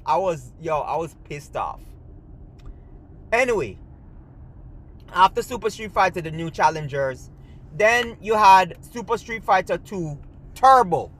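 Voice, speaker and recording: A man's voice: sits high, fairly bright tone, poor audio. A man with a Jamaican accent, hosting his own channel and ranting in a heated, rambling way with lots of restarts. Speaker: gaming channel host. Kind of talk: casual ranting commentary to camera.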